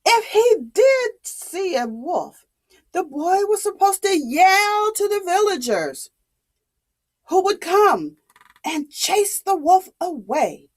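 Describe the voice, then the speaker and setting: A woman telling a story to young children in a dramatic, expressive, deliberate way. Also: very high voice.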